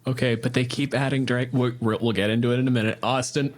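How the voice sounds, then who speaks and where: A man co-hosting a casual podcast with a wry, joking edge. He speaks at a medium pitch and moves the conversation along with offhand quips. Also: steady tone, quick pace. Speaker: podcast co-host. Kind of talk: casual chat.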